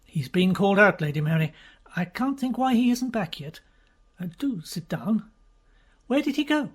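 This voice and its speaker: A woman doing a flustered gentleman detective, hesitant, stammering, husky. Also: mid-range voice, expressive, moderate pace, voice pushed up.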